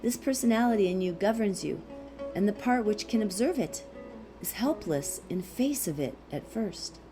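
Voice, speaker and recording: A woman reading a philosophical text aloud as scripted narration, in a measured, deliberate way with pauses. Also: mid-range voice, animated, over music. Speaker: audiobook reader.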